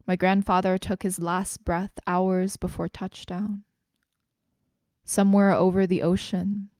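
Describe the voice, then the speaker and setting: A woman's voice: lowish voice, darkish tone, no echo, clean studio sound. A woman, a spoken word poet performing and introducing her own work. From Canada, Greater Toronto Area.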